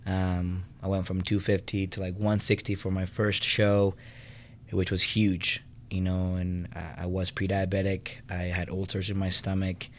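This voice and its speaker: A man's voice: low-pitched, level tone, a little slow, slight background noise. A young man from Venezuela, a guest on a podcast, talking casually about his life with lots of fillers. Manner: personable, many fillers. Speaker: guest, fitness business co-owner. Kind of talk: casual interview chat.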